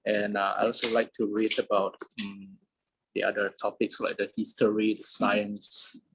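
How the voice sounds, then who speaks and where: A young man from Medan whose first language is Hokkien, answering interview questions in a halting way with many pauses. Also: on the low side, level tone, a bit bright, a little hiss or hum, poor audio. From Medan, Indonesia. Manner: hesitant, many "uh" fillers. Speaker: interviewee, polyglot.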